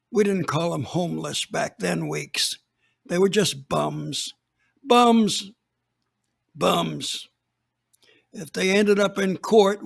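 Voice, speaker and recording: An actor playing an 85-year-old retired police chief, who tells his story slowly with emphatic repeats. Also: high voice, heavily acted. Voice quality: gravelly, drawling old voice. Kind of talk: radio drama acting.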